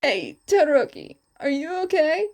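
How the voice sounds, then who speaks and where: A woman voicing a protective young male winged hero in a fan fiction story, calm, firm and defiant. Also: high voice, moderate pace, pitched above the performer's own voice. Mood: casual. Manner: calm, firm, quietly threatening.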